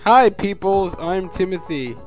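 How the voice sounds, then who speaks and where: A man's voice: sits high, animated, unhurried, darkish tone, muffled recording, faint music behind. A young man in college, born in the United States and raised in the Philippines, chatting easily as a podcast guest and laughing often. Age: young adult. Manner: easygoing, rambling, laughs often. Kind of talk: casual podcast interview.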